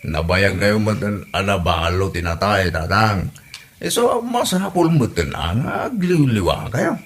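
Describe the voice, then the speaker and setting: A man's voice: on the low side, very animated, unhurried. A man playing a jovial, coaxing Filipino son-in-law in a comedy audio drama, with an Ilocano accent. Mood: cheerful, persuasive. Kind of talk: hobby comedy radio drama acting. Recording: noticeable background noise.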